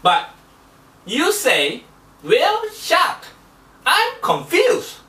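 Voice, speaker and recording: A woman's voice: very high-pitched, wildly swooping pitch, drawn-out words, sharp tone, exaggerated voice. A woman voicing a naive, easily confused young fish puppet, hesitant and full of questions, in a children's show. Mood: confused, proud. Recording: roomy echo, distant microphone.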